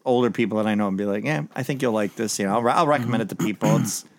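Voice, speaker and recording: Fast-talking man in casual film chat. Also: fairly low pitch. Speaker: podcast co-host reviewing a film.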